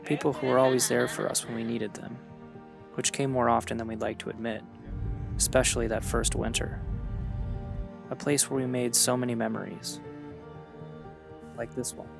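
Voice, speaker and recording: A man reading a reflective scripted travel voice-over in an earnest, measured way. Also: medium pitch, level tone, gravelly, over music. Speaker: vlogger narrating own travel story.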